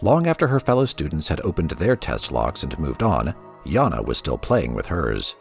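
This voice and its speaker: A man reading a story aloud as a storybook narrator, with a theatrical, expressive delivery. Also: extremely low pitch, normal speed, soft background music. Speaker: lore reader for a gaming let's play.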